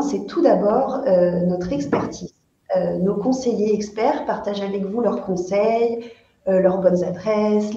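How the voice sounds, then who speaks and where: A woman hosts an online webinar for a travel agency, pitching its services with frequent hesitations. Her voice is dark and mellow. The call audio is muffled, lo-fi and echoey, as if from a computer mic in a bare room. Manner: frequent "euh" fillers, promotional tone. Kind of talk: presenting a company webinar. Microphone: computer mic on a video call.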